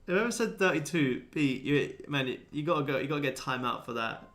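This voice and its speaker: A man's voice: a little high, expressive, brisk, fairly bright tone. A man in his twenties chatting casually as a livestream host answering viewers, laid-back, blokey and sweary. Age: 20s. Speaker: livestream host, day trader.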